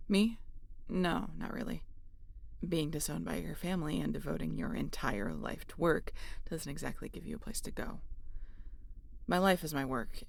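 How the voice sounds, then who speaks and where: Woman acting a creaky-voiced, sardonic female space scientist. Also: sits low, a little hiss or hum, full character voice. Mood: guarded. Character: guarded, sardonic female xenobiologist aboard a spaceship. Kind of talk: sci-fi audio roleplay.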